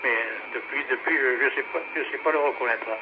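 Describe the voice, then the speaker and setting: A man of medium pitch chats casually over a two-way radio. The sound is tinny and clearly noisy. He rambles along and tends to repeat his words. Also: brisk. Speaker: amateur radio operator.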